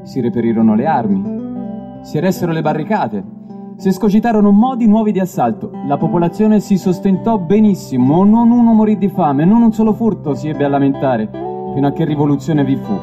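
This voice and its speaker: A man giving a dramatic literary reading, declamatory and deliberate, with weighty pauses. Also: very animated. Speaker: stage reader of literary texts. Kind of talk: dramatic literary reading over live music. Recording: dull lo-fi recording, plucked bass music behind. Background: live stick bass music.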